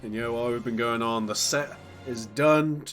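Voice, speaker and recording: A man's voice: mid-range voice, moderate pace, a bit bright, soft background music. A man doing live fighting-game play-by-play while nursing a cold. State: has a cold. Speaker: esports commentator.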